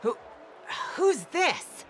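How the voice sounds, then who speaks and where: Cocky male henchman, bright forceful voice, very animated pitch, eager game minion, faint ambience. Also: extremely slow, a little hiss or hum, heavily acted. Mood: puzzled, insistent. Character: cocky, dim, eager male patrol goon. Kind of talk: game voice acting.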